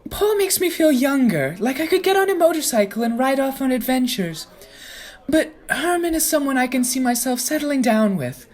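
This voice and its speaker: Casting: woman to play airy mature romantic for radio play, fairly high voice with swooping melody, husky. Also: big pitch swings, moderate pace, darkish tone. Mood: dreamy. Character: dreamy, smitten, twice-married mature island woman.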